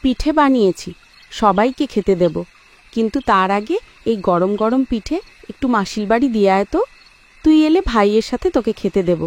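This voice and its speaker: A woman's voice: fairly high pitch, lively intonation, moderate pace, close to an everyday voice. Woman acting firm, caring cartoon mother. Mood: firm, motherly. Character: firm, caring village mother of two sons. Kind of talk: Bengali horror cartoon dub.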